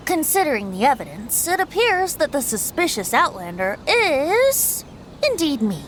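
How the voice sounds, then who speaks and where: Boyish bard, very high voice, lively swooping pitch, theatrical, teasing, video game character. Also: female voice, unhurried, a little hiss or hum, exaggerated voice. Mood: amused. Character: boyish, playful, theatrical wandering male bard.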